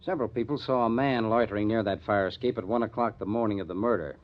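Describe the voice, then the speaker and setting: An actor playing a matter-of-fact police detective captain in an old-time radio crime drama, plainspoken and emphatic, on a muffled vintage recording. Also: male voice, on the low side, fast talker, natural voice. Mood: businesslike, emphatic.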